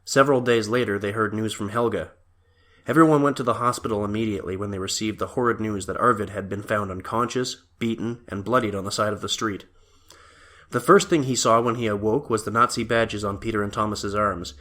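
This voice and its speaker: A man's voice: lowish voice, fairly even intonation, a little fast, bright, forceful tone. A man reading scripted story narration for an audio drama.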